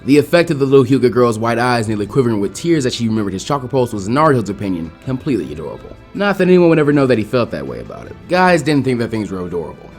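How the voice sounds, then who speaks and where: A man reading fan fiction aloud as an expressive storyteller, following a script. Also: mid-range voice, racing, hardly any pauses. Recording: background music behind the voice.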